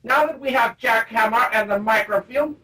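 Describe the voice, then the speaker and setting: High-voiced, gleefully cruel villainess. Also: female voice, normal speed, bright, forceful tone, recorded from a distance. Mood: gleeful, bossy. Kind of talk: comic spy radio play.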